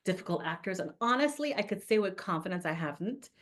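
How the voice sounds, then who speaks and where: A woman's voice: mid-range voice, expressive, fast talker. A woman from Trinidad, a makeup artist guest, talking earnestly and telling a story. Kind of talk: interview conversation.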